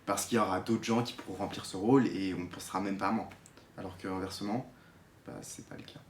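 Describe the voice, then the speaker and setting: A young man around twenty speaks in a fairly low voice. He gives a personal testimony off the cuff, hesitantly, often repeating words as he looks for the next one. The room is clearly echoey, with faint noise underneath. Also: fairly even intonation, fast. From Geneva, Switzerland. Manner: hesitant, searching, repeats words while explaining. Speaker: drama student giving a testimony.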